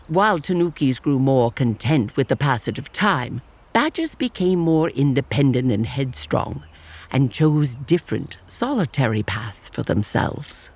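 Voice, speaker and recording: An actor plays a gentle old tanuki sage from a motion comic. The voice is husky and expressive, rising and falling with care. The delivery is measured and reverent, like an elder telling sacred lore at a ceremony. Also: medium pitch, exaggerated voice. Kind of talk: dramatic motion comic voice acting.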